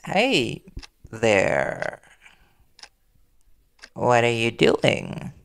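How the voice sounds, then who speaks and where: Worried reporter boyfriend played by a man, audio roleplay; creaky, conversational, earnest and gently confrontational. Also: medium pitch, big pitch swings, drawn-out words, exaggerated voice. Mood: suspicious, stern.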